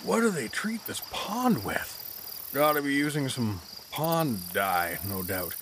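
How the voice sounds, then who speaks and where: A man acts out an oafish, tipsy dad in a comic fantasy audio drama. He rambles goofily at a very slow pace with swooping intonation, over faint noise. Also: medium pitch, sing-song. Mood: awed, rambling. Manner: rambling, goofy. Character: oafish, tipsy, rambling middle-aged dad, cheerfully oblivious.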